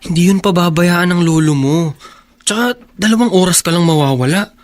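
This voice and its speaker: A man's voice: high voice, normal speed, no room sound, studio quality, close to an everyday voice. Man voicing a pushy teasing teen friend. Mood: coaxing. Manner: coaxing, teasing. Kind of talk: radio drama acting.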